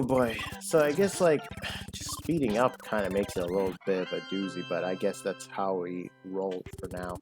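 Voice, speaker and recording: A man giving casual, rambling commentary over his own gameplay, full of fillers and restarts, with a loud voice. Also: fairly low pitch. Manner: rambling, filler-heavy, self-correcting. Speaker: gaming channel host. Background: game music.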